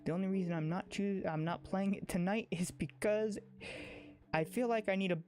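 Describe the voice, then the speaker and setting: A game streamer chatting casually on a livestream, informal and excitable, rambling along into a close microphone. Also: medium pitch, moderate pace. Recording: music under the voice. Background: music.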